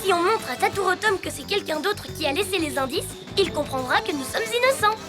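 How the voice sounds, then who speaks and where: A woman's voice: very high-pitched, animated, fairly quick. A woman voicing a keen, loyal young child superhero in a French cartoon dub. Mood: eager. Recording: music underneath.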